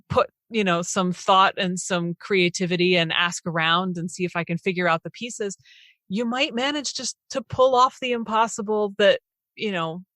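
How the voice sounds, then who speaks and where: A woman's voice: medium pitch, bright, forceful tone, no echo. A woman hosting a podcast, reflecting aloud in an earnest, informal conversational way. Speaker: podcast host, interviewer.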